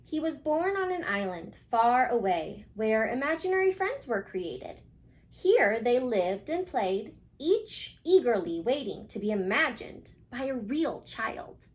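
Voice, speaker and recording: A woman reading a picture book aloud for children, expressively and with dramatic pauses, speaking directly to her young listeners. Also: on the high side, big pitch swings. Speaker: children's story reader.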